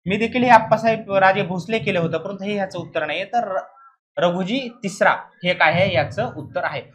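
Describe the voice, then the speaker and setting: A man's voice: high-pitched, a little fast, a bit bright. A man teaching exam material in a lecture style, repeating key points and often checking in with students using short questions.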